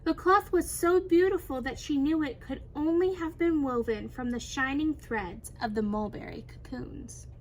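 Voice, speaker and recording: High-voiced woman reading a storybook aloud. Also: normal speed, hardly any pauses, a little hiss or hum. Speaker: teacher reading a picture book aloud. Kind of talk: expressive children's storybook read-aloud.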